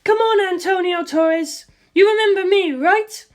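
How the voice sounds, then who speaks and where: A reader voicing a cool, curt young woman warrior who speaks calmly in short lines in an audiobook. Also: very high voice, a little slow, voice pushed up. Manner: calm, clipped and terse. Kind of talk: audiobook character voice.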